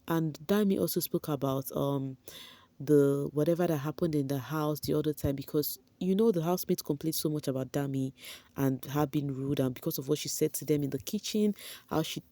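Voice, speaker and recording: A woman's voice: low-pitched, a little fast, warm tone, no echo. A young woman vlogger giving a breezy, gossipy recap to her viewers, sprinkling in slangy asides and plenty of "you know"s. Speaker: entertainment vlogger. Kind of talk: chatty gossip recap to camera.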